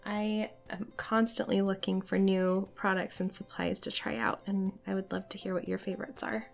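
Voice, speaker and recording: Young woman kinda hoarse from a cold, chatty paint tutorial, soft music in the back. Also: mid-range voice, little pitch movement, normal speed. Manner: friendly, chatty, light laughs. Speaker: watercolor art teacher. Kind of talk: casual painting tutorial narration.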